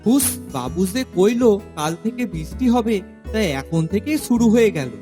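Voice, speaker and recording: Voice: mid-range voice, expressive, normal speed, mellow, faint music behind, lightly acted. An actor voicing a simple, chatty village manservant with a rural Bengali accent, naive and full of exclamations, in a cartoon story. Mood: exasperated, grumbling. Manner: naive, talkative, exclaiming, rustic speech. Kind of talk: animated family comedy-drama acting.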